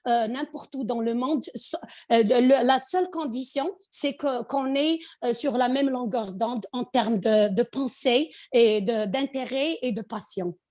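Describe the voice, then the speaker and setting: A woman from Morocco with a Moroccan accent, a podcast guest talking conversationally and haltingly, searching for words and slipping in English. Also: fairly high pitch, a little mellow. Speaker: podcast guest, finance executive. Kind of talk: conversational interview.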